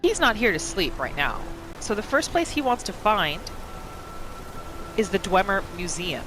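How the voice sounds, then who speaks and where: A woman giving expressive, storytelling third-person commentary as she roleplays through a video game. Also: medium pitch, measured pace, low-quality recording. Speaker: gamer narrating a roleplay playthrough. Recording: faint game music behind.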